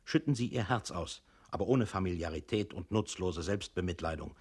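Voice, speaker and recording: An actor in a radio play voicing a male instructor who earnestly coaches a downtrodden employee in a didactic, deliberate manner. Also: low voice, a little mellow, husky. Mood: earnest exhortation. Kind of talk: scripted radio play narration.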